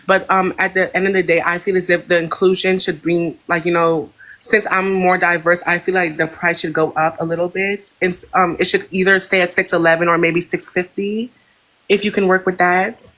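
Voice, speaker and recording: A woman's voice: fairly low pitch, little pitch movement, moderate pace, fairly bright tone. Chatty hyped woman from Florida. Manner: hyped, chatty, fills with "you know". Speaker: caller. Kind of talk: comic roleplay job interview.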